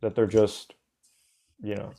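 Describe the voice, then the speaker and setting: A man's voice: on the low side, level tone, takes it slowly, creaky, mellow. A man hosting a livestream, arguing bluntly and matter-of-factly with callers. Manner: blunt, dismissive, matter-of-fact. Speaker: livestream host. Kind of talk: casual debate with callers.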